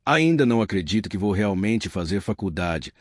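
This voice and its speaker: A man's voice: fairly low pitch, lively intonation, moderate pace, no room sound, clean studio sound. A man reading the lines of a humble, determined woman in her late thirties, earnest and modest.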